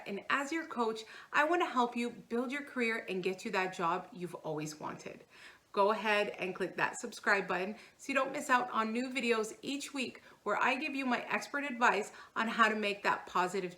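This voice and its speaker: A woman's voice: a little high, bright, forceful tone. A woman hosting as a career coach, giving scripted, instructional advice straight to the viewer. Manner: instructional, addresses viewer directly. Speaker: career coach and video host.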